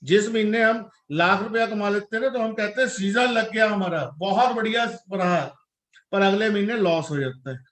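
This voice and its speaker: A man's voice: high-pitched, moderate pace, fairly bright tone, reverberant. A man in his forties from India, a parent speaking earnestly and reflectively in a casual talk, mixing English words into his speech. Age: 40s. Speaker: parent guest.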